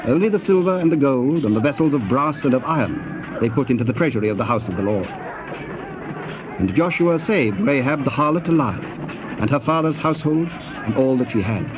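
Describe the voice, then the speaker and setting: A man's voice: medium pitch, expressive, fairly quick. A man narrating dramatized scripture in a solemn, formal storytelling manner. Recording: dull, noisy old cassette tape.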